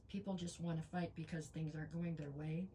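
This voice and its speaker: Woman tarot reader, low-pitched, hoarse, stuffy nose, chatty with lots of ums, faint hiss behind. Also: steady tone, brisk, warm tone. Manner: chatty, frequent ums, talks straight to viewers. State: losing her voice, stuffy nose. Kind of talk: casual tarot reading.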